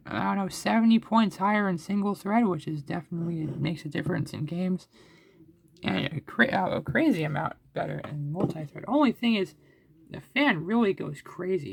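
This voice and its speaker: Gravelly-voiced man thinking aloud. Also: sits high. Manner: rambling, thinking aloud with restarts. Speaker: hardware hobbyist vlogger. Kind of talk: casual self-narrated screen recording.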